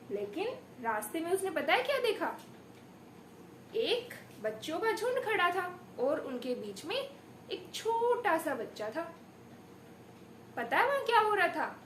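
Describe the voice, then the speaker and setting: Female primary teacher, animated melodic delivery, theatrical classroom storytelling, recorded in an echoey, slightly noisy room. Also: very high-pitched. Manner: theatrical, rhetorical questions, deliberate emphasis. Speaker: primary school teacher giving a demo lesson. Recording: echoey room with background noise.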